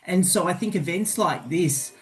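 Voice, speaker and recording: A man's voice: sits high, expressive, moderate pace. A man, a university lecturer answering interview questions with many hesitations and repeated words.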